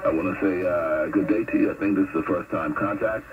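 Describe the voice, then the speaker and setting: A man talking on a CB radio contact, halting with many fillers, heard over a tinny radio transmission. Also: low-pitched, music in the background. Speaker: CB radio operator in Bermuda.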